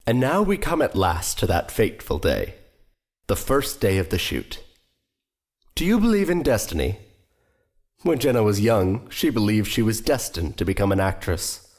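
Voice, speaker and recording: A man's voice: lowish voice, big pitch swings, moderate pace, a little mellow. A man playing a grave, theatrical narrator in an audio drama. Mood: portentous. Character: grave, theatrical narrator of a fateful true story.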